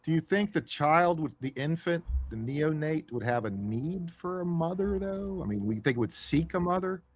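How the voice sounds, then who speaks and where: A man's voice: on the high side, lively intonation, normal speed. A man co-hosting a podcast discussion, asking probing questions with restarts. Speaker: podcast co-host.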